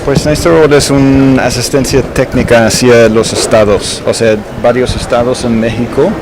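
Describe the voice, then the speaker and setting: A man's voice: medium pitch, little pitch movement, normal speed, very noisy. A man, an agency official, answering questions in a press interview.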